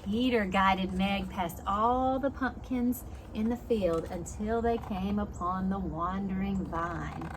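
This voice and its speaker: A woman's voice: medium pitch, slow pace, hardly any pauses, background noise. A woman reading a picture book to young children as a preschool teacher, expressively, with simple explanations and questions along the way. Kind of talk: storybook read-aloud for young children, with asides.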